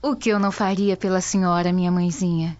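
Actress as a devoted, pious young daughter. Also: female voice, on the low side, steady tone, fast, a little mellow, natural voice. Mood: tender, devout. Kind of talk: radio drama acting. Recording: dull lo-fi radio drama audio. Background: music bed.

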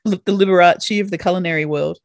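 A woman's voice: on the low side, no room sound. A woman hosting a podcast interview, keeping the talk going with friendly, short questions. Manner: friendly, quick to laugh along, short prompts. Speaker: podcast host.

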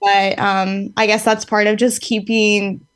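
A woman's voice: medium pitch, little pitch movement, unhurried. A woman in her late twenties, a band's singer, chatting casually as a guest, rambling and reflective with many fillers and easy laughs. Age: late 20s. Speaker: band singer, podcast guest. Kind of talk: casual interview chat.

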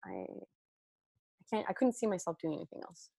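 A woman's voice: fairly low pitch, huge pitch swings, moderate pace, vocal fry, warm tone, slightly rough, no room sound, studio quality. French Canadian woman, rambling chat. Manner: rambling, many fillers and restarts. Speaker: guest. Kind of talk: casual podcast chat.

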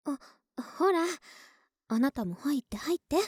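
A woman's voice: high voice, expressive, measured pace, dry recording, clean studio sound. A woman playing a friendly, clingy older-sister type neighbor in a cutesy, coaxing, intimate ASMR voice drama. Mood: playful, coaxing. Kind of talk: Japanese ASMR situation voice drama acting.

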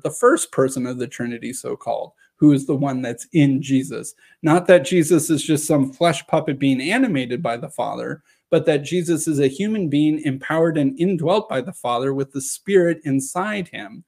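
Slightly hoarse man with a gravelly voice. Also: a little high, a little mellow. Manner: measured, reads passages aloud and explains. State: slightly hoarse from long talking. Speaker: video presenter on scripture. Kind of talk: lecture-style reading and commentary.

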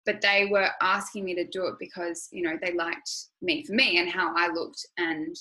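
A woman's voice: fairly low pitch, fairly even intonation, normal speed, bright, forceful tone, low-quality recording. A young Australian woman speaking as an interview guest, candid and reflective, with lots of "you know" and "like". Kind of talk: conversational interview.

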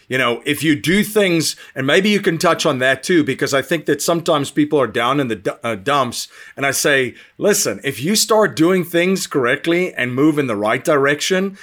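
Male podcast host, enthusiastic, effusive, fairly high voice. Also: expressive, normal speed, few pauses, a bit bright. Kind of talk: podcast interview hosting.

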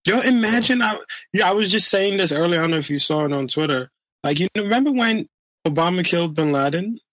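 A man's voice: high voice, expressive, quick pace, a bit bright, no room sound. A man of Nigerian background co-hosting a podcast, riffing in slangy, joking casual banter. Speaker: podcast co-host.

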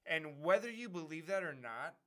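A man's voice: a little high, animated, moderate pace, sharp tone. A young man from Wisconsin co-hosting a podcast, joking and swearing in casual chat while steering the topic. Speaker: podcast co-host.

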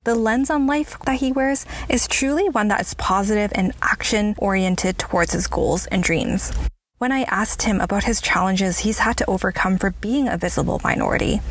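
A woman's voice: mid-range voice, slight background noise. A woman hosting a workplace podcast, reading an upbeat scripted introduction and then interviewing a guest, recorded at home on a budget lapel mic. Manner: upbeat, enthusiastic. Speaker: podcast host.

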